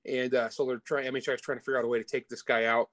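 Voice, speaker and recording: A man's voice: medium pitch, level tone, racing, a bit bright, no echo, clean studio sound. A man who is an author being interviewed, chatting informally and with enthusiasm about the work. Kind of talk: casual interview chat about their own books.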